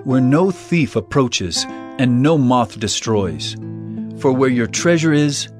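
Earnest male teacher of parables, audio Bible drama. Measured, declamatory, very expressive, faint music underneath. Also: mid-range voice. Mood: reassuring, earnest.

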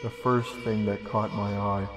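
A young man giving a deadpan, deliberate reading of a comedic horror story as narrator. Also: on the low side. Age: young adult. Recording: long reverb, music behind. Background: music.

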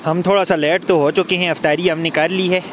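A man's voice: high-pitched, fairly even intonation, fairly quick. A young man from Pakistan chatting casually to viewers in a travel vlog, filmed with a camera held at arm's length outdoors and in a car. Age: young adult. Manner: chatty, addresses viewers directly. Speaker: vlogger. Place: outdoors, in a car and on a street and riverbank. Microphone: phone or camera at arm's length. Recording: noisy outdoor phone recording.

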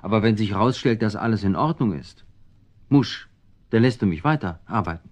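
Grumbling writer with swooping intonation. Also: male voice, on the low side, expressive, moderate pace, darkish tone. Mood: grudging, concerned. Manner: testy, sighing, reluctant. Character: grumbling, busy male writer, the cat's exasperated owner. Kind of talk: German children's radio play acting. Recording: dull old vinyl record transfer.

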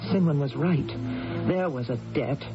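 A woman's voice: deep voice, moderate pace, music in the background. An actress playing a proud, vengeful ancient British queen with theatrical, impassioned delivery in a 1980s radio drama. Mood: grave, bitter recounting. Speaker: radio actor. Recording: muffled 1980s radio broadcast.

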